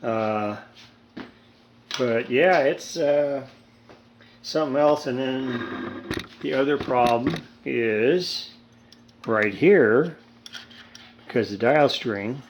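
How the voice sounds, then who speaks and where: Slow-talking man in his seventies, noisy recording. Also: mid-range voice. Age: 70s. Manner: rambling, folksy, dryly humorous. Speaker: hobbyist radio restorer. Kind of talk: casual hands-on repair demonstration. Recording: steady background noise, homemade video.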